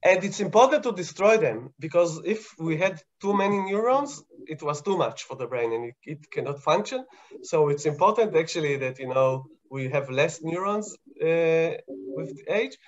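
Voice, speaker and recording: A man's voice: a little high, animated, normal speed, muffled recording. A man with an Israeli Hebrew accent, a scientist explaining things to children in a casual, friendly way, laughing often and checking in with "right?" and "you know?". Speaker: scientist answering children's questions.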